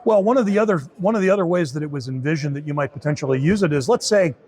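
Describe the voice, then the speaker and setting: Man, slightly high pitch, informal demo talk, step-by-step explaining. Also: animated, very fast, darkish tone. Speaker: beta test supervisor giving a product demo.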